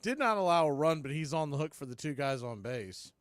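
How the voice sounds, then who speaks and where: A man's voice: fairly high pitch, lively intonation, brisk, dry recording, studio quality. A man calling a baseball game live as a play-by-play announcer.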